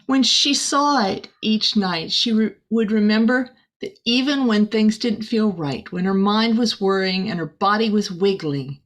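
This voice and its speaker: A woman's voice: mid-range voice, measured pace, few pauses. A woman reading a children's picture book aloud expressively, adding small chatty asides for a young listener. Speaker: storybook reader.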